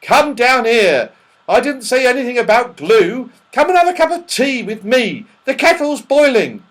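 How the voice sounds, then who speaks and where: A man voicing a hearty, fondly exasperated fellow in a children's storybook, calling out loudly. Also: very high-pitched, big pitch swings, moderate pace, pitched above the performer's own voice, exaggerated voice. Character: old friend of saucepan man, loud, fondly exasperated.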